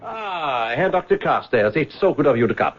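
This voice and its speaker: An actor playing a courteous, probing German police inspector with a German accent and hesitant, formal politeness in a radio drama. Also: male voice, a little high, sing-song, moderate pace, music in the background. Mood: politely probing. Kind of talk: 1970s radio drama acting. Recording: dull lo-fi old radio recording.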